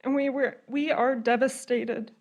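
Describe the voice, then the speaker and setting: A young woman giving public comment at a city hearing, reading a prepared statement in an earnest, measured, formal way. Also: sits high, steady tone, a little mellow.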